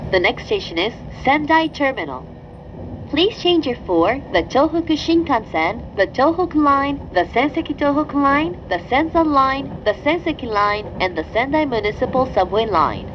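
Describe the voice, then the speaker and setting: A woman giving scripted train announcements, formal and clearly enunciated. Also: sits high, sing-song, slow. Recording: dull lo-fi recording with train noise. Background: train ambience.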